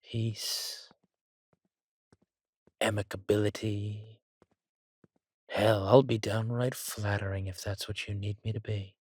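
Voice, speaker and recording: Fantasy villain king, male performer: deep, slow, silky and measured, courteous with quiet menace. Dry audio-drama sound. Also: flat delivery, dry recording. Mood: soft, reassuring.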